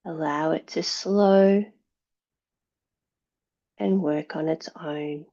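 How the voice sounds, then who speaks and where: A woman teaching yoga, leading a guided meditation in a calm, measured, soothing way with long pauses between phrases. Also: deep voice, highs cut off.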